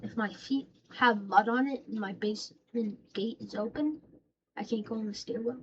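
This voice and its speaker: A woman's voice: a little high, moderate pace. A woman giving casual, slangy reaction commentary over a dull, noisy recording. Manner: informal, slangy, addresses listeners as bro. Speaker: host reacting to horror videos. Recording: dull mic, loud background noise.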